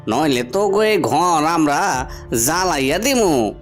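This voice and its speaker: A man's voice: on the high side, expressive, takes it slowly, faint music behind. A man voicing a threatening, sneering henchman who bullies villagers for their headman in a cartoon. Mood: menacing. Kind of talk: cartoon voice acting.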